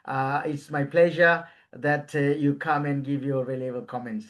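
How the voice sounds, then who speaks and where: A man from Bangladesh, hosting a casual podcast interview and often pausing to search for words. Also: mid-range voice, fairly even intonation. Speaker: podcast host.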